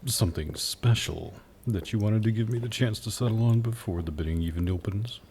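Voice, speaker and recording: A man playing a suave, haughty demon buyer in an ASMR roleplay, speaking in a low, husky, gravelly voice with teasing, sardonic pauses. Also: darkish tone, slight background noise, close to an everyday voice. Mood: haughty, wry. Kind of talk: ASMR roleplay audio drama.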